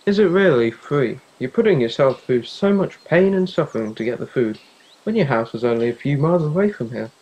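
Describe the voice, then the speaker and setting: A man's voice: medium pitch, expressive, brisk, darkish tone, slightly rough, dull audio. A young man in a youth theatre play acting a worried, caring teenage friend, earnest and pleading. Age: young adult.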